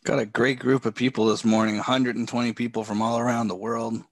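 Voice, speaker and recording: A man coaching a live trading session from a home office, talking in a relaxed way with many fillers, a bit hoarse and often clearing his throat. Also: medium pitch, brisk, dry recording. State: losing his voice.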